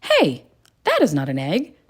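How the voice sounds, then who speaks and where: A woman's voice: low-pitched, wildly swooping pitch, measured pace, breathy edge, full character voice. A woman voicing a bossy, exasperated crayon in a children's storybook, indignant and full of questions. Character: bossy, exasperated purple crayon correcting the others. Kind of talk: children's storybook read-aloud.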